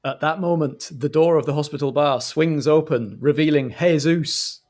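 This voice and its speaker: A man's voice: on the high side, normal speed, darkish tone. A man narrating an improvised comedy roleplay as game master.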